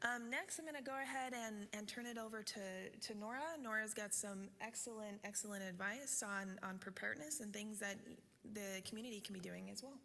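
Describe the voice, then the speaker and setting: A woman's voice: mid-range voice, a little fast, hardly any pauses, pushed and bright, slight background noise. A woman from a utility company presenting at a public forum, casual, with lots of fillers and "right?" check-ins. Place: large meeting hall. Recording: reverberant hall.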